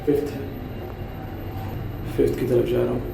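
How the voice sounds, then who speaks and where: A young man with a sore, hoarse throat chatting straight to viewers as a family vlogger, filming on a handheld phone. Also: medium pitch, completely flat delivery, normal speed. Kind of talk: casual vlog narration. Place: outdoors by a car, then a lift. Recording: loud traffic and vehicle noise.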